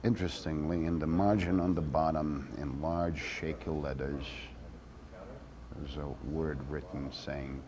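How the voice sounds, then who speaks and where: Deep, slow-talking man acting a wry ex-activist monologue. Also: fairly even intonation, a crowd in the background. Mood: quiet, knowing. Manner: slow, deliberate delivery with dramatic pauses. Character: wry former activist man recounting a strange feud. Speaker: actor reading a monologue. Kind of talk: theatrical dramatic monologue.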